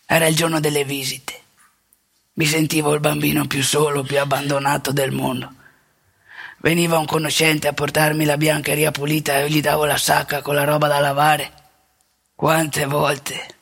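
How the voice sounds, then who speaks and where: A woman's voice: low voice, a bit bright, slightly rough, heavily acted. A woman playing a wry, wounded old former seminarian priest in a theatrical monologue, talking colloquially and prodding the audience with questions. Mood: wistful, hurt. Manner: colloquial, teasing, rhetorical questions and tag words. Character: aging Veneto ex-seminarian priest, wry, wounded, ranting storyteller. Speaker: stage monologue actor.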